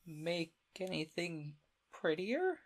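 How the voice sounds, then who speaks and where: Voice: medium pitch, sing-song, slow pace, pitched above the performer's own voice, exaggerated voice. A reader voicing Alice, a polite, curious young girl, in a storybook reading.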